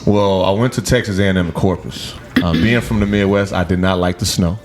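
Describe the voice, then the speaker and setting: A man from Gary, Indiana, a podcast host appearing as a guest, who talks in a measured, earnest way with "uh" pauses. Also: low voice, fairly even intonation, a little hiss or hum. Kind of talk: casual chat.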